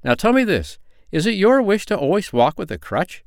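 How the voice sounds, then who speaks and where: A man's voice: sits high, sing-song, moderate pace, vocal fry, no room sound, studio quality, voice pushed up, heavily acted. A man voicing a fiery but kindly little old magical man in a storybook, exclaiming in formal, old-fashioned phrases. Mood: earnest.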